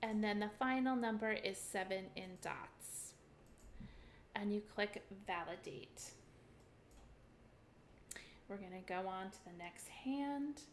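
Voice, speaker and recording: A woman's voice: mid-range voice, takes it slowly, pauses often, a little hiss or hum. Upbeat woman teaching step by step. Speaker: mahjong teacher. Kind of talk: screen-share tutorial walkthrough.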